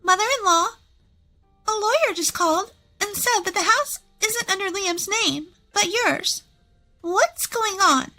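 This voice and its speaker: A woman's voice: extremely high pitch, expressive, unhurried, sharp tone, soft background music, voice pushed up, heavily acted. A woman voicing a smug, brash young daughter-in-law, greedy and scornful, in a narrated family story. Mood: alarmed.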